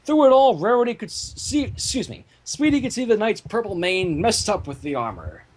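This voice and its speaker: A man narrating a fan-fiction story aloud in an animated storytelling style. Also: sits high, fairly quick.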